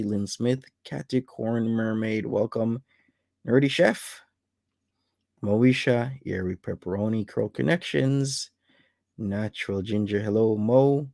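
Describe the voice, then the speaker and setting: A man's voice: on the low side, vocal fry, dark tone, dry recording, studio quality. A man in his late thirties hosting a casual livestream, reading out viewer names one by one to greet them. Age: 30s. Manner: greets viewers by name in a list. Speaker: livestream host.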